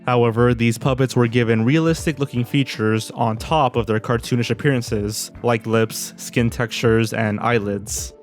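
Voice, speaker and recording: A man's voice: mid-range voice, fairly even intonation, normal speed, hardly any pauses, soft background music. A man narrating a countdown video from a script.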